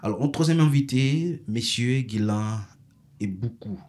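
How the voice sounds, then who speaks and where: A man's voice: medium pitch, unhurried, a little mellow. A man, hosting a political interview and probing his guests with repeated questions. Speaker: host.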